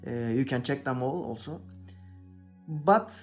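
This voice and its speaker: Man, turkish accent, young adult, halting second-language english, lots of "you know", mid pitch, soft music behind. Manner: hesitant, searching for English words, many "you know". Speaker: hobby painter, channel host. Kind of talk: casual talk while painting. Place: indoor workbench.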